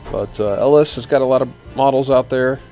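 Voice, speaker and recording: A man giving casual, relaxed commentary as he walks through a large indoor exhibition hall, filmed on a handheld camera. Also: medium pitch, a little fast, soft background music. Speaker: channel host.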